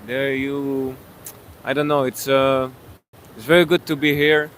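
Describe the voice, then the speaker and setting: A man's voice: medium pitch, little pitch movement, measured pace, fairly bright tone, a little hiss or hum. A man from Belgium on vacation, answering questions on the street in simple, repetitive phrases. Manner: simple phrasing, repeats words. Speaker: tourist on vacation. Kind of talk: casual street interview.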